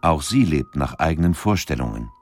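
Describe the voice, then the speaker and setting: Low-voiced man narrating a documentary. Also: expressive, normal speed, darkish tone, soft background music.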